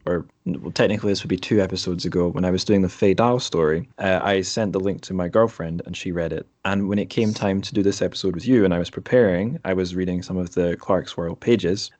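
Low-pitched man hosting a podcast. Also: a little mellow. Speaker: podcast host. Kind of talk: casual interview chat about books.

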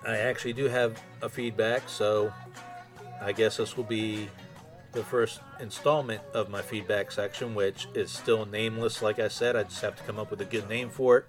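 A man's voice: fairly low pitch, flat delivery, moderate pace, faint music behind. A man hosting a fan podcast solo, chatting in an informal, conversational way. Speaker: podcast host.

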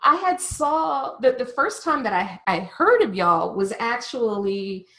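A woman hosting a casual podcast chat. Her voice sits a little high, and she comes across upbeat and talkative, often laughing between her questions. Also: very animated, a little slow, sharp tone. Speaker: podcast host.

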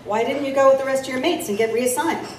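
A woman playing an intrepid, inquisitive newspaper reporter in a steampunk audio drama, with brisk, probing delivery. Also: sits high, lightly acted. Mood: probing, incredulous. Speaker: lead actor and narrator. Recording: roomy, distant, faint background noise.

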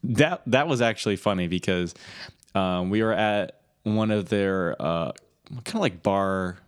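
A young man with a low voice and a Midwestern American accent co-hosts a podcast. He talks informally, wandering through his stories in a loose, conversational way. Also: a little slow, clean tone. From Michigan, US Midwest. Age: early 20s. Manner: rambling, informal storytelling. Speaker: podcast co-host. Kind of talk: casual conversation.

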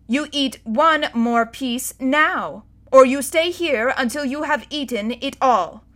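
A woman voicing a strict, stern older female tutor who gives commands in a clipped, forceful way. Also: high voice, takes it slowly, voice pushed up.

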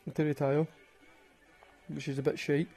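A man's voice: on the high side, little pitch movement, normal speed, creaky, warm tone, slightly rough, faint music behind. A man with a Glaswegian Scottish accent, rambling and swearing through casual stories and laughing at his own jokes. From Glasgow, Scotland. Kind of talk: casual storytelling monologue.

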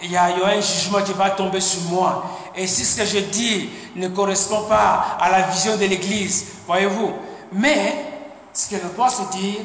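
A man preaching a sermon, halting and repeating words, punctuating points with amen. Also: high voice, a bit bright. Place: church sanctuary. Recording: echoey hall with faint noise.

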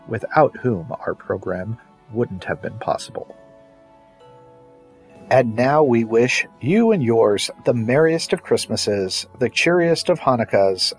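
Announcer: man, mid-range rough-edged voice, formal and flowery scripted sign-off, soft music behind. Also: fairly low pitch. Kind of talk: scripted radio play credits and holiday sign-off.

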